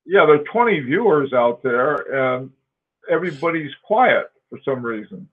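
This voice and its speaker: A man's voice: mid-range voice, very animated, moderate pace. A man in his forties hosting a casual live-stream chat, rambling with fillers and frequent laughs. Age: 40s. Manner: rambling, laughing often, with many fillers and restarts. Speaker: host. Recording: tinny phone-like line.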